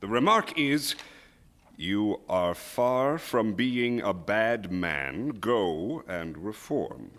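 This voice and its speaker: An actor playing a troubled, halting old husband in a melodramatic radio drama. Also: male voice, fairly low pitch, lively intonation, a bit bright, full character voice. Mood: reading aloud intently. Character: Edward Richards, troubled, hesitant old husband. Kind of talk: theatrical live radio drama acting. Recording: echoey hall, live stage.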